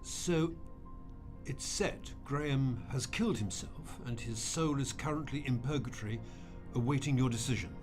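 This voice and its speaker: A man's voice: mid-range voice, normal speed, music in the background, natural voice. A man in a radio drama playing a guilt-ridden wealthy man, earnest, emphatic and pleading. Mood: disbelieving, upset.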